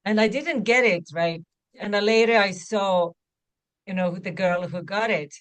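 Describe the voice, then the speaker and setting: Estonian-accented woman, chatty and animated. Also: mid-range voice, a little slow. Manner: animated, frequent "you know" and "like" fillers. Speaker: actor guest. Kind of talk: casual interview chat.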